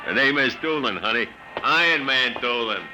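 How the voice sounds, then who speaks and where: A man's voice: mid-range voice, animated, normal speed, music in the background, close to an everyday voice, rough recording. A man playing a cocky, good-natured big lug of a detective in vintage radio drama style, flirtatious and slangy. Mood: flirting. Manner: swaggering, slangy. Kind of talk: 1950s radio drama acting.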